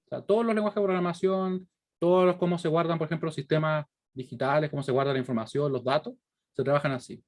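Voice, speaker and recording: Chilean man lecturing, slightly high voice. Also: steady tone, fast talker. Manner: didactic, frequent check-in questions. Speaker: university lecturer. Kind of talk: explanatory lecture to students, working examples aloud.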